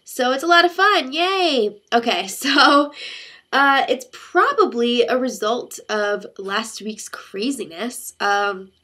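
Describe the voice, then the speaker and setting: Young woman vlogger with a congested cold, crisp bright voice, chatty and rambling. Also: fairly high pitch, takes it slowly. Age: young adult. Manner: chatty, rambling storytelling with many fillers. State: congested, sick voice. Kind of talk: casual chat to camera.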